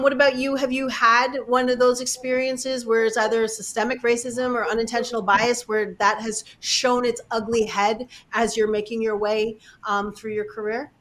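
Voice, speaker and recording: A woman's voice: fairly high pitch, a bit bright. A woman moderating a panel discussion, posing questions and affirming the speakers. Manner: affirming, frequent "mm" backchannels.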